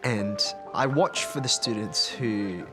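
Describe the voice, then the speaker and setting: A man's voice: fairly low pitch, slow pace. A man, a maths teacher, talking earnestly and reflectively in a documentary interview. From Australia. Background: background music.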